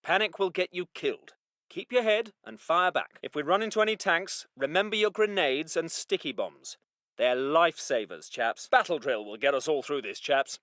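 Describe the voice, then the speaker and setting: An actor playing a polite, plucky British Second World War army medic in a video game, with clipped, old-fashioned genteel phrasing. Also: male voice, a little high, animated, moderate pace, a bit bright, dry recording, clean studio sound. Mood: calm encouraging. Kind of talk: military video game unit voice lines.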